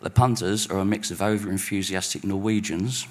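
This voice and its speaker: A man reading his overheard-conversation stories at a live spoken-word night in a pub, wry and deadpan. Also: deep voice, fairly quick. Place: pub venue stage.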